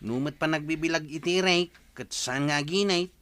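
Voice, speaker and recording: A man acting a bright-voiced younger Filipino villager. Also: on the high side, brisk, clean tone, natural voice. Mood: questioning. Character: younger village nephew, matter-of-fact and inquisitive. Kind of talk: Ilocano radio comedy drama acting.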